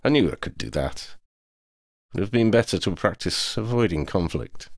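A man's voice: sits low, expressive, moderate pace, vocal fry, no room sound, clean studio sound, heavily acted. A man voicing a proud, lordly talking male cat in a storybook, with pompous, formal wording. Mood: grave, self-assured. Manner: pompous, formal wording with Scottish turns of phrase.

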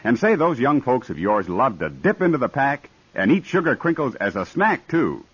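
A man announcing in an upbeat 1950s radio sales style. His husky voice is recorded dry, and he rises and falls in a lively way. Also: mid-range voice, normal speed, no room sound, rough recording. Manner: smooth, upbeat sales patter. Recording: dry old radio broadcast.

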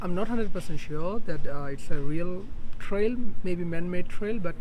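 A man's voice: sits high, very slow, darkish tone, a little hiss or hum, rough recording. A young man answering a friend's questions outdoors, hesitant and repeating words as he talks. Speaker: friend on the trip. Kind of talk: casual interview answer. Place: outdoors by a park lodge.

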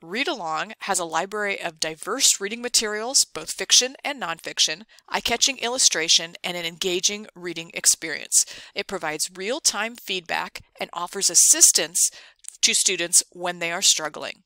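A woman presenting an instructional screencast, explaining things clearly step by step. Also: fairly low pitch, moderate pace, sharp tone, no echo, rough recording. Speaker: ed tech tutorial presenter. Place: dry close voice-over for a screen recording.

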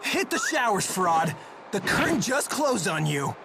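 A high-pitched, boastful young hothead. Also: male voice, sing-song, unhurried, creaky, noisy background, heavily acted. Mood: smug, taunting. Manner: theatrical, blustering, shouty. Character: boastful, hot-headed, bungling young male attendant. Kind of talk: animated game voice acting.

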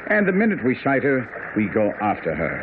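An actor playing a self-assured, commanding detective in a radio drama, speaking precisely and with clipped authority. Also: male voice, medium pitch, wildly swooping pitch, fairly quick. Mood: cool, commanding. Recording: tinny, noisy old radio broadcast.

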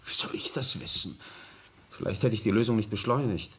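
A man's voice: fairly low pitch, a little fast, fairly bright tone, natural voice. An actor playing a defensive, evasive young man in a family radio drama. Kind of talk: German radio drama acting.

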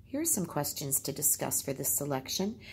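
A woman's voice: sits low, sing-song, normal speed, a little mellow, a little hiss or hum. A woman teacher reading aloud and explaining in simple phrases, as in a storytime lesson.

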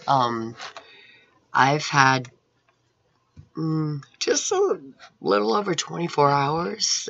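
A middle-aged woman with a raspy, hoarse voice, giving an earnest, rambling personal testimony into a webcam at home. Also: very deep voice, a bit bright, no room sound. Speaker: Bible college student. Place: room at home with painted scripture wall. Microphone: webcam at close range. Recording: dull lo-fi webcam audio.

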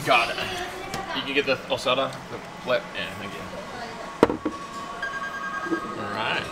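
A man in his fifties hosting a casual food vlog, chatty and playful, reacting loudly as he tastes sushi in a small restaurant. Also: medium pitch, slow. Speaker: food vlogger host. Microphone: handheld camera close up. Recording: noisy restaurant room.

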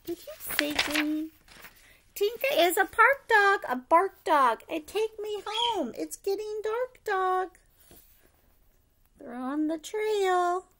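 A middle-aged woman with a high, bright voice reads to preschoolers at an unhurried pace. She is expressive and sing-song, like a teacher at story time, and often puts questions to the children. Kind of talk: animated picture-book read-aloud for young children. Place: living room at home, indoors.

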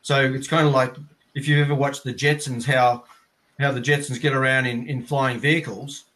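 A man's voice: medium pitch, flat delivery, normal speed, fairly bright tone. An Australian man in his fifties giving conversational explanations as an interview guest on a video call. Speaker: interviewee, air traffic controller. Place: plain indoor room, video call.

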